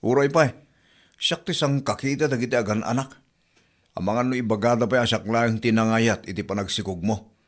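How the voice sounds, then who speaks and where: A man's voice: medium pitch, fairly quick, natural voice. A man with an Ilocano accent playing a flustered, defensive young husband in a comedy radio drama.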